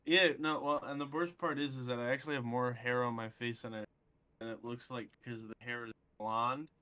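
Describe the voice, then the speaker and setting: A man's voice: medium pitch, fairly even intonation, fairly quick, pushed and bright. A young man of twenty in casual live-stream chat, rambling earnestly with many fillers. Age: 20s.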